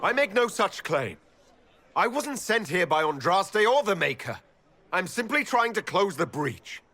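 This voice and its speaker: A level-headed young elf hero in a fantasy game. His voice is bright and fairly high, with lively intonation, and he speaks plainly and defiantly. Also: a little slow, heavily acted. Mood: firm. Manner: plain-spoken, firm. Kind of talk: dramatic fantasy video game dialogue.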